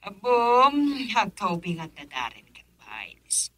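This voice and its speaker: A wealthy older Filipino matron in a radio drama, teasing and self-satisfied. Her delivery is unhurried and animated, with a sharp, bright edge. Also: medium pitch, exaggerated voice. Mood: smug, teasing.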